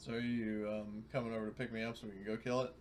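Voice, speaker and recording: A man's voice: lowish voice, flat delivery, quick pace. A man playing a tabletop roleplaying game, chatting in a casual, jokey way about his character's moves. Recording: faint background noise.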